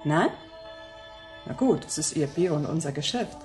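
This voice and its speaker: A woman's voice: low voice, animated, normal speed, darkish tone. Frank, chatty saleswoman over faint music. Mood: doubtful, frank. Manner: casual, familiar sales patter. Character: chatty, frank female face-changing shop saleswoman. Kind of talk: German sci-fi radio play.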